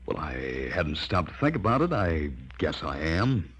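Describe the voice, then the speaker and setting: A man's voice: very deep voice, very animated, measured pace, a bit bright, husky, exaggerated voice. An actor playing an earnest, polite research chemist in his thirties, in 1950s radio drama style. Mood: hesitant. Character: earnest male research chemist, respectful former student. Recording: dull old 1950s radio recording.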